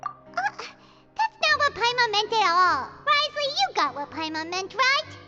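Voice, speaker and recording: A woman voicing a tiny, perky, easily indignant childlike female companion in a video game, squeaky and exclamatory. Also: very animated, moderate pace, big-room sound, highs cut off, loud music behind the voice. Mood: flustered. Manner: speaks of self in third person, exclamatory.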